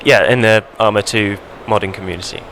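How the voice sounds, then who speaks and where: A man's voice: on the low side, fairly quick, a bit bright, slight background noise. A man working as an interviewer, putting questions in a hesitant way.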